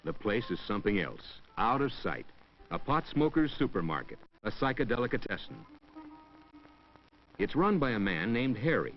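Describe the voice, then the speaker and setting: A man's voice: lowish voice, big pitch swings, normal speed. A man reading scripted narration for a 1960s educational film in a wry storytelling way, echoing hip slang. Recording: dull old 1960s film soundtrack with music. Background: background music.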